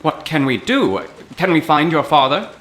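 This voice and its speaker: Fussy, cowardly professor, wildly swooping delivery. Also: male voice, a little high, very animated, normal speed, a bit bright. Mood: anxious. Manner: pompous, florid, theatrical diction. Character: fussy, cowardly male professor, bookish and easily alarmed. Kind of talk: old-time radio adventure drama acting.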